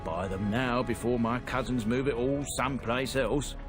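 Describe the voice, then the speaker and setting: An actor playing an easygoing, chatty dwarf trader in a game. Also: male voice, mid-range voice, moderate pace, lightly acted. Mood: friendly. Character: friendly male dwarf trader. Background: game music.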